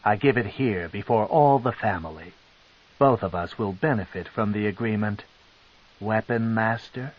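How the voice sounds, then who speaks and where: A man voicing a cold, scheming female dark elf matriarch, with a slow, commanding and sneering delivery. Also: fairly low pitch, lightly acted. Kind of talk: audiobook character reading. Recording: dull lo-fi tape.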